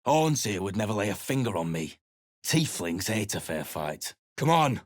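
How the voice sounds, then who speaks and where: Gruff sellsword, husky, low-voiced, dry studio sound. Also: male voice, sing-song, normal speed, no echo. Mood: surly. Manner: surly, contemptuous. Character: gruff rough-spoken male mercenary leader. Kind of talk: video game voice acting.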